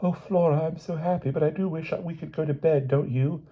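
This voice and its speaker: A man's voice: on the high side, steady tone, a little fast, pitched above the performer's own voice, natural voice. A man voicing a sleepy, sweet young country girl. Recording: dull lo-fi recording.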